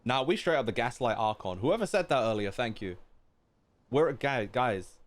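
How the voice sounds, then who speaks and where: A man's voice: mid-range voice, expressive, normal speed. A man streaming a game with casual, slangy reaction commentary. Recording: game music behind voice. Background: game music.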